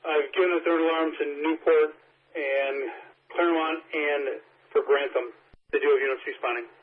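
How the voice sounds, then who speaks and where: A man's voice: on the high side, fairly even intonation, normal speed. A man working as a fire dispatcher over two-way radio, tersely repeating back transmissions in procedural phrases. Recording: phone-like fire radio audio, static and noise.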